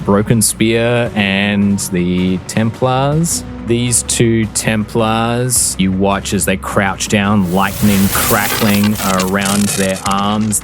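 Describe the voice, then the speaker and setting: Man, game master, low voice, unhurried suspenseful narration, theatrical delivery, music underneath. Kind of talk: dramatic tabletop game narration. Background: background music.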